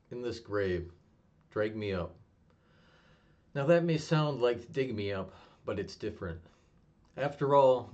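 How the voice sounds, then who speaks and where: A young man with a fairly low voice reads his own poetry aloud, steady and low-key, close to monotone. The sound is a little dull and lo-fi, like a simple home recording. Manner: low-key, even, self-described monotonous delivery. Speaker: poet reading his own work. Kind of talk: spoken word poetry reading.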